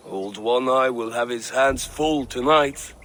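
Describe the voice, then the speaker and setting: An actor playing a mournful, solemn Viking warrior, speaking deliberately in a video game. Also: male voice, medium pitch, fairly bright tone, a little hiss or hum, lightly acted. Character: mournful Viking warrior grieving his brother. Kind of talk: dramatic video game acting.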